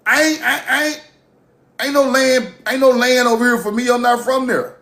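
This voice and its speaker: A man holds forth on a livestream, his high voice climbing as he rants, swears and laughs at his own points. The sound bounces around an echoey room. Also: normal speed. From Mississippi. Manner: animated, profane, laughing rants. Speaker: livestream host. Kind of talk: heated livestream monologue.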